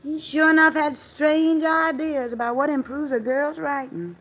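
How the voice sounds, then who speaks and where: An actress playing a coy, testy young Southern belle in old radio drama, with a folksy American Southern drawl. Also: female voice, high voice, fairly even intonation, normal speed. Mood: defensive. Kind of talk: late-1940s radio drama acting. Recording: dull, noisy old radio recording.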